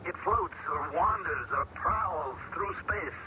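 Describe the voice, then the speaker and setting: An actor playing a man taken over by an alien mind, who talks calmly and measures his words in an old radio drama. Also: sits high. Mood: eerie. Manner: calm, measured, searching for words. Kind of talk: 1970s radio drama acting. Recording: dull old radio broadcast with loud hiss.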